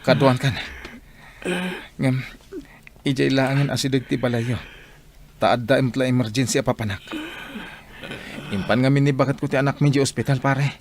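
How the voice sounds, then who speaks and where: A man's voice: mid-range voice, fairly even intonation, moderate pace, long pauses, close to an everyday voice. A worried father in a radio drama, played by a man. His mid-range voice is urgent and apologetic, with faint noise in the background. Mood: anxious. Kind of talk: Ilocano radio drama acting.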